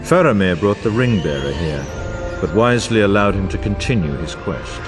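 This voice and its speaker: An actor playing a grave, wise war narrator in a fantasy video game, with dramatic, archaic and formal delivery over music. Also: male voice, sits low, sing-song, normal speed. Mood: grave, stirring.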